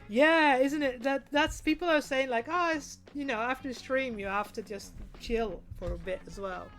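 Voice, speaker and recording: A woman's voice: sits high, moderate pace, soft background music. Chatty Dutch-accented woman streaming. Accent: Dutch, with some British English. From Netherlands. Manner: chatty and rambling, many restarts, greets chat. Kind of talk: casual livestream chat while sewing.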